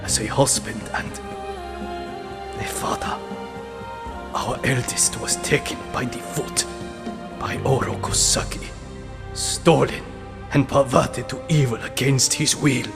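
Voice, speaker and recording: A man playing a solemn, ashamed mutant rat father in an audio comic drama, speaking formally and haltingly. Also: medium pitch, vocal fry. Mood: grieving. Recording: music under the voice.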